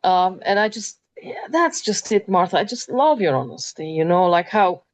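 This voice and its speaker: Lady in her 50s hosting, lowish voice, lots of ums. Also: big pitch swings. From Transylvania, Romania. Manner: thoughtful, many ums and pauses. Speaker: interview host. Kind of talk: moderated talk.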